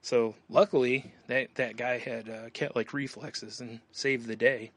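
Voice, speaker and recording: A man's voice: medium pitch, fairly even intonation, unhurried, fairly bright tone. A man co-hosting a podcast, telling a rambling story in casual chat with lots of fillers and frequent laughs. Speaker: podcast co-host.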